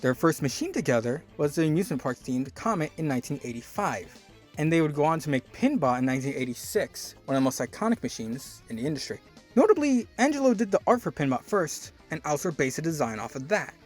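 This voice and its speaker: A man's voice: fairly high pitch, big pitch swings, fast, soft background music. A man hosting a video essay, narrating from a script with wry, conversational asides.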